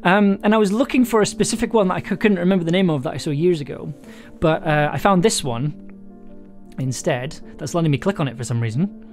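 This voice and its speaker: A live streamer talking casually to viewers, stumbling through fillers and restarts and laughing often. The voice sits at an ordinary middle pitch. Soft guitar music plays quietly in the background. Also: animated, brisk. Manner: hesitant, many fillers and restarts, laughs easily. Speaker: audio drama writer streaming live. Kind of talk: casual live-stream chat, reading prompts aloud.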